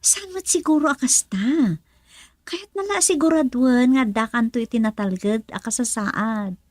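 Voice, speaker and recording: A woman's voice: on the high side, unhurried, dry recording. Worried woman acted in a dry radio drama. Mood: questioning. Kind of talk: Ilocano radio drama acting.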